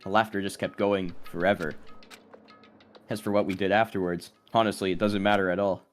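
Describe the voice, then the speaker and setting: Male actor as earnest teen protagonist, troubled and brooding, low register, dramatic anime game delivery over music. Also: little pitch movement, brisk, natural voice. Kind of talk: anime game dub, dramatic inner monologue and confrontation.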